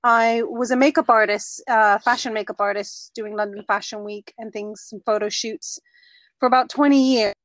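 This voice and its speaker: A woman in her forties, a podcast guest speaking in a brisk, matter-of-fact way. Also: a little high, fairly even intonation, no echo. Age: 40s. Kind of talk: casual interview chat.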